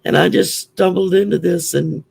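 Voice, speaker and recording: A man with a Southern US accent and a growly, gravelly voice, chatting openly as a guest on a call. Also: sits high, fairly even intonation, moderate pace, darkish tone. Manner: chatty, open, storytelling. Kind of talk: casual chat.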